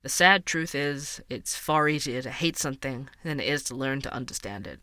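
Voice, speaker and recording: A man playing an empathetic, thoughtful investigative podcast host, speaking in an earnest, measured way. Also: medium pitch, expressive, bright, forceful tone, no echo, clean studio sound. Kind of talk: fiction podcast interview and narration.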